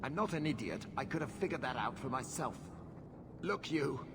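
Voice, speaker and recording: Creaky, haughty, shifty nobleman played by an actor. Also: medium pitch, animated, normal speed, heavy background noise, close to an everyday voice. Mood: irritated, bargaining. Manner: imperious, irritable. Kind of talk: video game cutscene acting.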